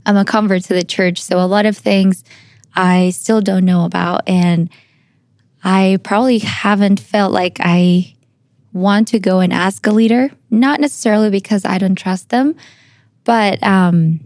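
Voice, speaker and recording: A woman's voice: mid-range voice, steady tone, a little slow, darkish tone, no echo, studio quality. Colombian-born woman hosting a podcast. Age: young adult. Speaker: podcast host. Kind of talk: scripted intro and casual interview.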